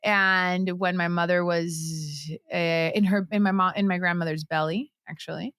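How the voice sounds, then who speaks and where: A woman's voice: on the low side, fairly even intonation, normal speed, fairly bright tone, smooth, no room sound, clean studio sound. A woman from Puerto Rico, telling personal stories conversationally as a podcast guest.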